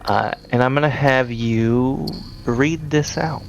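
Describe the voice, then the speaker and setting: Guy game master, gravelly mid-pitched voice, casual tabletop narration. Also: slow.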